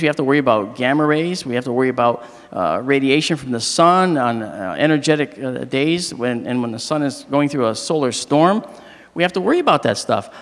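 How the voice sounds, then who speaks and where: A middle-aged man with a slightly hoarse voice gives a joking, animated astronomy lecture on stage into a handheld mic. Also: mid-range voice. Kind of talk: public lecture with jokes. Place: large hall, on stage. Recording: long reverb of a large hall.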